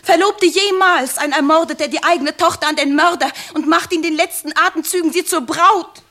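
A distraught young goldsmith's daughter with a very high voice, devoted to her betrothed. Her delivery is fervent and theatrical, in the manner of a 1950s radio play. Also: moderate pace. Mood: anguished, fervent. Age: young adult. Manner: fervent, theatrical, breathless dramatic delivery with hushed passages. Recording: 1950s radio drama studio recording.

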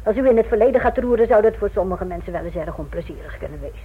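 A guarded village innkeeper in an old radio drama with thin, telephone-like sound. Her voice is a little husky and of medium pitch. She answers curtly and warily, keeping people at a distance. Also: animated, very fast. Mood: defensive. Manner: curt, wary. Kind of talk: Dutch radio play acting. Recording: muffled phone-like old radio recording.

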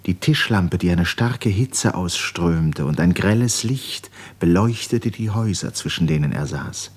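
Low-voiced male radio play narrator. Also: lively intonation, a little slow, breathy edge.

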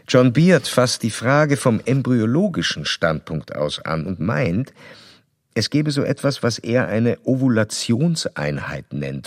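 An actor playing a self-assured psychoanalyst in a radio drama, speaking in measured, formal, didactic sentences. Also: male voice, mid-range voice. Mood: formal, reflective. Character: male psychoanalyst doctor, clinical, probing, self-assured. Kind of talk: radio drama dialogue and letter monologue.

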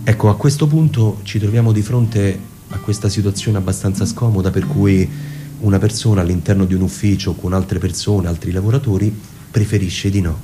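A man performing a dramatic scripted narration in a theatrical, musing manner, with deliberate pauses. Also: on the low side, steady tone, dark tone. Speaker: performer reading a literary narration. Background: plucked guitar music.

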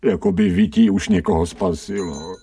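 An actor playing a scrawny, streetwise stray dog in a radio drama, grumbling in colloquial speech with sardonic self-pity. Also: male voice, fairly low pitch, huge pitch swings, vocal fry, warm tone, distant mic, a little hiss or hum, heavily acted. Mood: suffering, bitter. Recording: 1990 radio drama, off-mic.

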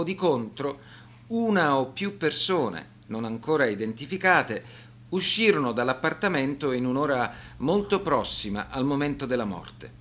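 A man reading scripted radio documentary narration in a measured, serious, formal manner. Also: a little high, a bit bright.

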